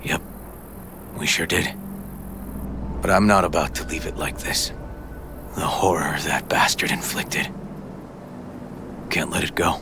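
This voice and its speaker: An actor playing a grim, weary ex-detective bent on revenge in a video game, terse and hushed. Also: male voice, low voice, steady tone, measured pace, long pauses, music in the background. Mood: cold rage. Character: grim, vengeful male ex-detective, weary and brooding. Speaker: former detective. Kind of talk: dramatic video game acting.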